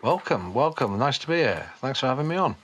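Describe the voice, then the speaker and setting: A man's voice: medium pitch, big pitch swings, brisk, a bit bright, a little hiss or hum. A man speaking as a podcast guest, casual and blunt, giving his opinions in conversation. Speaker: podcast guest, policy economist and author.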